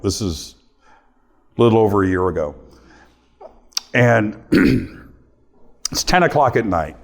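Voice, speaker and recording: A middle-aged man, a radio host, telling a story to a live audience and acting out his own quotes. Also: fairly low pitch. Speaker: radio host giving a talk.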